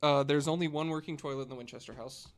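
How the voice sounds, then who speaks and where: A man's voice: fairly high pitch, fairly even intonation, fast. A man on a casual podcast panel, presenting researched facts in a conversational way and partly reading from notes.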